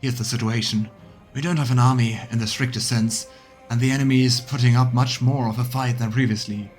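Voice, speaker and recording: A serious military captain, voiced by a male streamer, with a medium-pitched voice and formal delivery. He briefs his commander in a fantasy game over soft music. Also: steady tone, a little fast, close to an everyday voice. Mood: serious, urgent.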